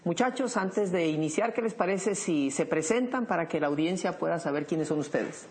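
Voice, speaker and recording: A man hosting a Bible study program, leading the discussion in a measured teaching tone. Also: on the high side, expressive.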